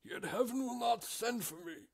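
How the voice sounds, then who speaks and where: A man voicing a frail, weary old cattle drover who speaks slowly and high. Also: animated, creaky, breathy edge, exaggerated voice. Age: older.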